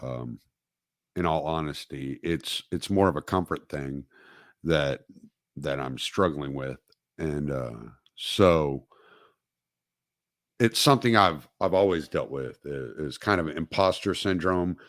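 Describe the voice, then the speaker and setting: A man hosting his own podcast, talking alone on a livestream in a halting way with many fillers and restarts, recovering from dental work. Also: rumbling low, no echo, clean studio sound. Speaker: podcast host. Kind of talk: solo livestream talk.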